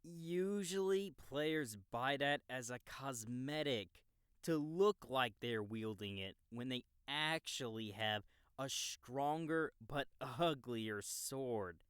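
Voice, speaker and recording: A man's voice: mid-range voice, expressive, slow, a bit bright, clean tone, no echo, studio quality. A man playing a cocky, laid-back young gamer guide in an audiobook, casual, slangy and teasing. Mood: casual, helpful. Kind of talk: audiobook character dialogue in a game-world story.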